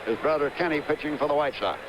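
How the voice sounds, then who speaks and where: A man doing play-by-play on a live baseball game, steadily calling each pitch and reeling off player statistics. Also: quick pace. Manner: steady, stat-heavy calling of the action. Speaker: play-by-play announcer. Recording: noisy 1970s broadcast recording.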